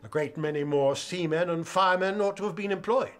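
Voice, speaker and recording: Man acting dry, sceptical high-voiced judge. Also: brisk, close to an everyday voice. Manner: hesitant, formal, probing. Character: dry, sceptical male judge presiding over inquiry. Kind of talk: courtroom radio drama acting.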